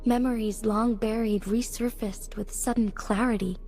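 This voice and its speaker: Game character: actress plays resolute young female leader, earnest and formal, higher-pitched, soft-edged mellow tone, music underneath. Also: steady tone, normal speed, lightly acted. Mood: reflective. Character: solemn young female leader, resolute, devoted to her guardian. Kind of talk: scripted video game voice acting. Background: music behind the voice.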